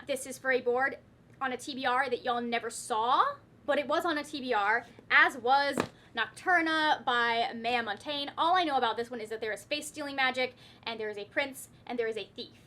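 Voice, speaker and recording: Bright, high-voiced woman chatting casually. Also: slight background noise. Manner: rambling, self-correcting, playfully wry asides. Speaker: book vlogger. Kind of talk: casual chatty book talk to camera.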